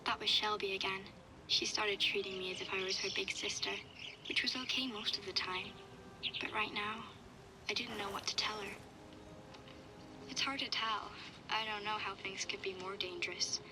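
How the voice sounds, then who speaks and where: A young girl survivor played by a woman records her diary in a game audio log. Her delivery is even and restrained, measured and reflective, with a wary matter-of-fact tone. Clear ambient noise sits behind the voice. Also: medium pitch, natural voice. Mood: wistful, uneasy. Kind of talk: first-person audio log narration.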